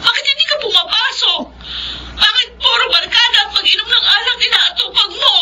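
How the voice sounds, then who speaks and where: High-voiced, anguished Filipino elder sister. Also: female voice, fairly quick, heavy background noise. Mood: anguished reproach. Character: self-sacrificing eldest sister working abroad, pleading and reproachful. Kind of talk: Filipino radio drama acting. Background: dramatic music.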